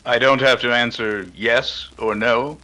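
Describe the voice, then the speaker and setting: Cool, bright-voiced skeptical detective played by a man. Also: medium pitch, fairly even intonation, measured pace, poor audio. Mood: dry, guarded. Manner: dry, precise. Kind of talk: 1930s radio drama acting.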